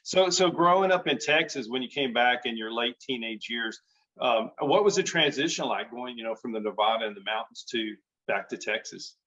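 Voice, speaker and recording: A man's voice: medium pitch, moderate pace, a bit bright. A man hosting a casual interview, friendly and enthusiastic, often repeating and restarting his words. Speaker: host. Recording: dull lo-fi sound.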